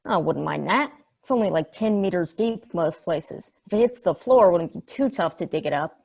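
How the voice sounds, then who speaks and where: A woman's voice: fairly low pitch, expressive, moderate pace, creaky, darkish tone, breathy edge, exaggerated voice. A woman playing a slangy, irreverent space probe in a stage play table read. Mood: casual. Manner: folksy, sweary slang.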